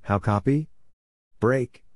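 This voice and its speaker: A man's voice: medium pitch, slow pace, very noisy. A man reading scripted practice phrases in a terse, clipped manner. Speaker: narrator reading Morse code practice exchanges.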